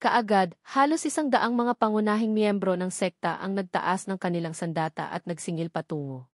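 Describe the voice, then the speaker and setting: A woman narrator reads a scripted novel aloud. Her pitch sits in the middle range for a woman. The sound is very dry, with no room around the voice. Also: moderate pace, no echo. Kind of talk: scripted audiobook-style narration of a web novel.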